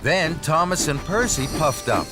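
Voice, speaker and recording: A man narrates a children's story in an unhurried, expressive way. His fairly high voice rises and falls a lot, with prominent music playing underneath.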